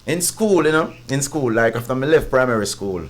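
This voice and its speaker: A man with a Jamaican accent and a big, deep voice, talking as a guest in a casual interview, speaking in patois and often checking that he is understood. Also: lively intonation, fairly quick. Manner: patois-heavy, repeats "you understand". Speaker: guest artist.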